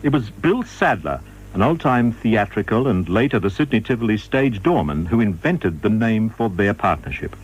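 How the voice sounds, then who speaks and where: A man reading scripted radio documentary narration in a measured, formal manner. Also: fairly low pitch, big pitch swings, slightly rough, rough recording.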